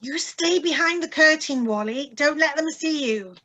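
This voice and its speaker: An actress playing a frightened, fretful wife in an old-style radio thriller, anxious and pleading. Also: female voice, sits high, lively intonation, normal speed, sharp tone. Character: frightened, anxious young wife under siege. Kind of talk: 1940s-style radio drama acting. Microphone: video call. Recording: noisy, clicky home video-call audio.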